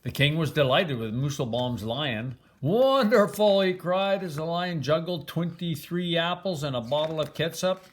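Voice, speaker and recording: A man's voice: fairly high pitch, moderate pace, hardly any pauses. An older man reading a children's storybook aloud as a grandfather would, with expression.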